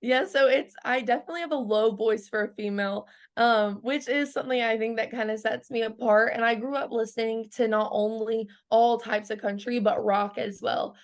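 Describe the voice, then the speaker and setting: A woman's voice: fairly high pitch, steady tone, normal speed, few pauses. Young woman, chatty and giggly. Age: 20s. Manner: bubbly, laughs often, lots of "like" fillers. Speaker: country singer guest. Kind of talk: casual interview chat.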